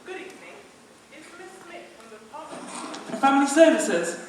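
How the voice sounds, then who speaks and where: A woman acting a formal, firm child welfare agent in a stage play, with a measured official manner. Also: high voice, very animated. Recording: very echoey theatre, distant mic, faint noise.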